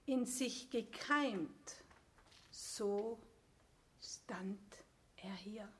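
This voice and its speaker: A woman playing a hushed storyteller of a creepy ballad, reciting haltingly and dreamily, trailing off softly in an audio play. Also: medium pitch. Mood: pensive, hushed.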